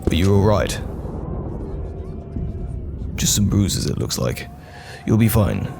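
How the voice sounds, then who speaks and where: A man playing a steadfast knight general who protects common folk, measured, commanding and reassuring, in a fantasy audio roleplay. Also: big pitch swings, noisy background, full character voice. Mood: concerned. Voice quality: deep, gravelly. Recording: background music under the voice. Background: music.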